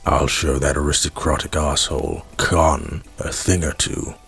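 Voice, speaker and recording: Man as a very deep, husky jungle beast. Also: level tone, measured pace, fairly bright tone, exaggerated voice. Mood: menacing. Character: menacing, cunning male jungle beast. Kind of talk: comic-book dub acting.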